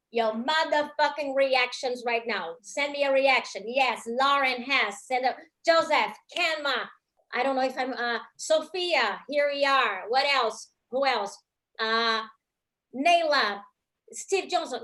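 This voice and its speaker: A woman with a Brazilian accent hosting a live comedy show over a video call, animated and quick to break into a loud laugh. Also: high-pitched, low-quality recording.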